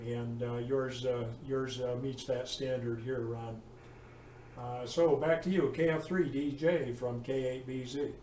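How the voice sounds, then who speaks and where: A man's voice: medium pitch, a little mellow. Man on ham radio, roomy distant sound. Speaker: amateur radio operator. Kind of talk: casual ham radio chat. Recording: roomy, distant mic with faint noise.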